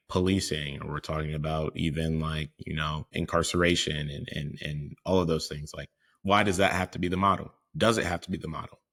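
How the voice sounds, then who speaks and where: A man with a very deep, low voice, co-hosting a podcast. He speaks earnestly and reflectively, pausing on "uh" and "um" as he works through his thoughts. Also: fairly bright tone. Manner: earnest and reflective, with frequent hesitations. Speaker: podcast co-host. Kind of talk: casual conversational chat.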